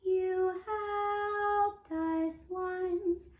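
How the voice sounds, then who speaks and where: A woman voicing grateful, helpful ducks in a storybook puppet show, half-singing drawn-out rhymes. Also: very high voice, fairly even intonation, echoey room, faint music behind, pitched above the performer's own voice, exaggerated voice. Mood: grateful, gentle.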